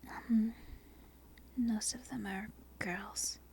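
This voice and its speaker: A woman from Australia with a softened Australian accent, whispering an unscripted ASMR ramble in a halting, breathy way, often correcting herself. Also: mid-range voice, fairly even intonation, a little hiss or hum. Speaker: amateur ASMR video creator. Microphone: non-binaural microphone.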